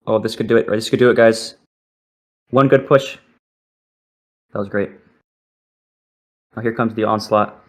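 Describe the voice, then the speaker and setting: A young man streaming a game live, reacting excitably and swearing as he plays. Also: lowish voice, a little slow, long pauses. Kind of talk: live gaming commentary.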